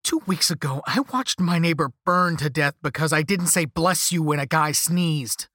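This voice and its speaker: A man's voice: mid-range voice, lively intonation, measured pace, a bit bright, slightly rough, dry recording, studio quality. A man acting a desperate, angry cursed protagonist in a story, speaking in a heated, emphatic, strained way. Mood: anguished.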